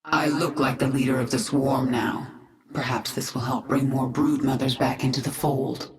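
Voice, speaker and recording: A woman's voice: extremely low pitch, fairly even intonation, normal speed, darkish tone. An actress playing a powerful reborn alien swarm queen, cool and commanding. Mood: confident. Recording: roomy echo.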